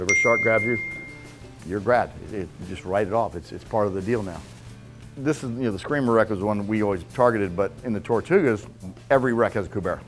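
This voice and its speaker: A man's voice: fairly low pitch, low-quality recording. Blunt folksy man teaching fishing rigs. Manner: blunt, folksy, repeats "okay?" to check listeners. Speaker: fishing seminar speaker. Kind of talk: casual hands-on fishing talk. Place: club meeting room with whiteboard.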